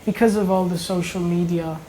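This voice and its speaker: A man's voice: high-pitched, fairly even intonation, a little fast, dark tone, a little hiss or hum, low-quality recording. A young man from Italy speaking as a panelist in a casual round-table discussion, hesitant and searching for words.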